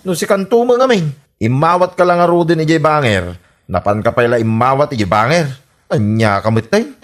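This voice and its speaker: A man's voice: a little high, sing-song, moderate pace. A man with an Ilocano accent playing an embarrassed, scolding husband in a comedy radio drama, exclamatory and accusing.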